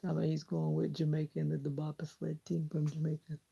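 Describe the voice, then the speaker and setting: Husky, gravelly Southern woman chatting. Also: low voice, completely flat delivery, fast, mellow, dull audio. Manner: chatty, laughs often, talks while trimming hair. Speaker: vlogger. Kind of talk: casual chat with live viewers.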